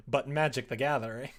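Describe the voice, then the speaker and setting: A young man with an American accent chats casually on a livestream, rambling expressively as he reacts to comments. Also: mid-range voice. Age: young adult. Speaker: live streamer. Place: indoor room at a desk.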